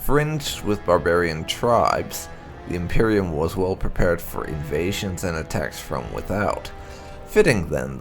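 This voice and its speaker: A man reads game lore aloud in a low, gravelly voice with plenty of fry. His delivery is measured and storyteller-like, rising and falling in a lively way with emphatic phrasing. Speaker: gaming channel host. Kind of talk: reading game lore aloud as scripted narration.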